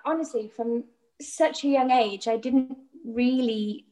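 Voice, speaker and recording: A woman's voice: on the high side, slow pace, warm tone. A young woman from North Wales with a North Welsh accent, a musical theatre performer chatting as an interview guest. Welsh is her first language, and she talks in a chatty way, full of fillers and giggles. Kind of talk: casual interview chat.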